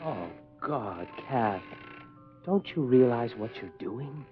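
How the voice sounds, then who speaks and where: A man's voice: medium pitch, animated, slow, faint music behind. A man playing a desperate husband in a horror radio play, intense and imploring as he confronts a spirit. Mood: distraught. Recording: muffled lo-fi radio drama recording.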